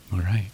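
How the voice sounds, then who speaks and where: A man playing a weary, tender young demon in an intimate ASMR roleplay, speaking softly and hesitantly with gentle wry humor. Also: a little hiss or hum. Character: weary, tender young male demon working a phone line. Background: rain and thunder.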